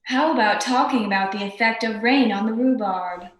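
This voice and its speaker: Woman acting hard-boiled femme fatale, curt, sardonic, slightly high female voice, radio drama, echoey room. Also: normal speed, natural voice. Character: tough, cool, sharp-tongued glamorous young femme fatale. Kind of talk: old-time radio detective drama performance.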